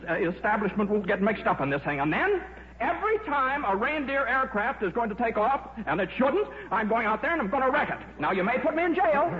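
Man shy, stubborn scientist for 1950s radio drama; hesitant, repetitive, higher-pitched, noisy muffled recording. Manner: hesitant, stammering, repeats himself. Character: shy, absent-minded, stubborn scientist and gentle father.

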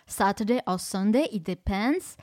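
A woman's voice: medium pitch, expressive, moderate pace, a little mellow, no echo, studio quality. A French woman with a French accent hosting a podcast conversation in English, speaking emphatically and effusively. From France. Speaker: podcast host. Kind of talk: casual interview conversation.

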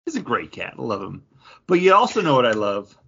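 A man co-hosting a podcast in casual chat, rambling and animated with lots of restarts. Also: mid-range voice, fairly quick, vocal fry, breathy edge. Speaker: podcast co-host. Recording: dull lo-fi podcast audio.

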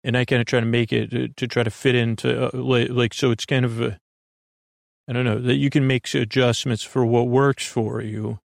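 Gravelly, rambling male podcast host. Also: little pitch movement, moderate pace, no echo, clean studio sound. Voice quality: gravelly, creaky. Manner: meandering, hesitant, self-interrupting, off on tangents; self-deprecating, low-key. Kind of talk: rambling, unscripted bedtime monologue.

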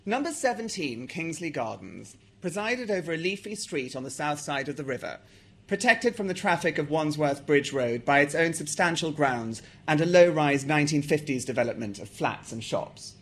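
A man's voice: fairly high pitch, lively intonation, normal speed. A man with an English accent, from South Africa, talking about his novel and reading from it aloud. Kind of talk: author talk and reading aloud.

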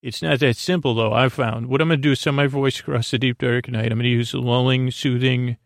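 A man hosting a sleep podcast, talking in a meandering, halting way with many fillers and restarts and a creaky voice. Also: medium pitch, steady tone, no room sound, studio quality. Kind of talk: rambling bedtime podcast intro.

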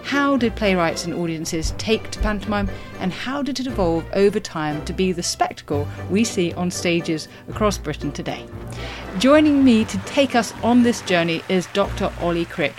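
A woman hosting a podcast, speaking in an articulate, measured way as she introduces topics and questions a guest. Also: medium pitch, expressive. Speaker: podcast host. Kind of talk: scripted introduction and interviewing. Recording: music under the voice. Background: music.

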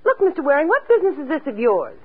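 A woman playing a tough, cagey, scheming secretary in a 1940s radio crime drama, sharp-tongued, curt and guarded. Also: high-pitched, sing-song, very fast. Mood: defensive, curt. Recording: tinny, noisy old radio recording.

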